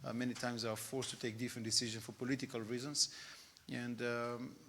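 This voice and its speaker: A man's voice: medium pitch, level tone, fairly quick, a bit bright, slight background noise. A man who is not a native English speaker, giving unscripted remarks and searching for words.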